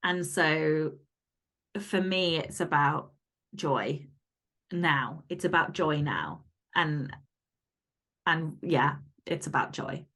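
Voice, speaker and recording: A woman with a slightly low voice talks casually as a podcast guest. She is candid and blunt, stressing her points with feeling and swearing freely. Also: expressive, takes it slowly, pauses often, a bit bright. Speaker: podcast guest, fiction author. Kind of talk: casual, candid interview chat.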